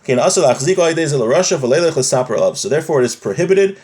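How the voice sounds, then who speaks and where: A man's voice: fairly high pitch, lively intonation, racing, a little mellow. A man giving an informal lesson in religious law, reading Hebrew phrases from a text and explaining them conversationally with rhetorical questions. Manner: switches between Hebrew quotations and plain explanation.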